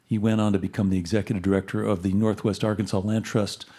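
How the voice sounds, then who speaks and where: A man's voice: fairly low pitch, little pitch movement, fast, dark tone. A man hosting a live radio show on stage, introducing and interviewing guests in a conversational way. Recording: live stage with audience crowd.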